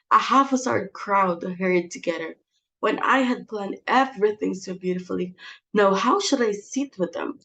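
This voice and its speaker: A woman's voice: medium pitch, a bit bright, muffled recording. A woman acting a domineering, melodramatic middle-aged society hostess in a dramatic play reading, theatrical and exasperated.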